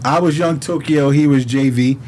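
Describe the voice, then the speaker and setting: A man's voice: medium pitch, moderate pace, slight background noise. A man from Harlem with a New York accent, telling stories casually in street slang with plenty of swearing. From New York City (Harlem). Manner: animated street slang, profane, quotes dialogue. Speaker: podcast guest, rapper. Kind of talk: casual storytelling in conversation.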